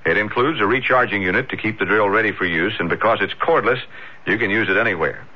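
A man's voice: on the low side, fast. A man reading a scripted radio advertisement as a commercial announcer. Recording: muffled old radio broadcast.

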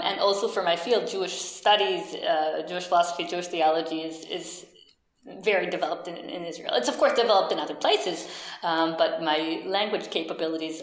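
A woman in her thirties answering interview questions in a halting way, with many fillers and restarts. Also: fairly low pitch, echoey room, low-quality recording. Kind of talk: casual conversation.

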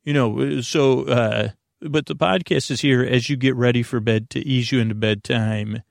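A man hosting a sleep podcast, talking in a meandering, halting way, often restarting sentences and answering imagined objections. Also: medium pitch, steady tone, creaky, no echo, clean studio sound. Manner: voices imagined listener objections and answers them. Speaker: podcast host. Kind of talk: rambling, unscripted sleep podcast introduction.

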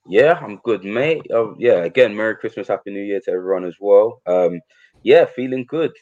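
A man's voice: on the low side, animated, moderate pace. A laid-back man chatting casually on a podcast in brief phrases. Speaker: podcast guest, regular podcaster. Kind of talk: casual chat. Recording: muffled lo-fi microphone.

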